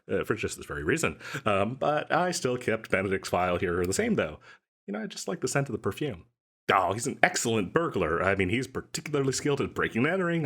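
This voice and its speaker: Male actor as eager salesman, animated swooping pitch, rambling, stammering, coy, cheerfully shady, comedic audio roleplay. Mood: admiring, amused. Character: overeager male yandere-agency salesman, chatty and cheerfully shady. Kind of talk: comedic audio roleplay, one side of a conversation.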